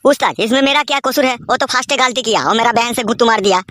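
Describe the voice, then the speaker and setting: A woman's voice: a little high, rapid-fire, a bit bright, no echo, close to an everyday voice. A woman voicing a timid, loyal young male henchman in a comic cartoon, pleading and servile.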